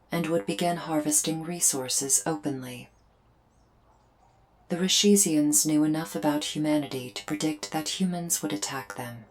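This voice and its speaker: A woman voicing a solemn female alien invader in a scripted ASMR roleplay, speaking in measured, formal, deliberate phrases with pauses. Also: low-pitched, darkish tone. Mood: solemn, explanatory. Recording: music behind the voice. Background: music.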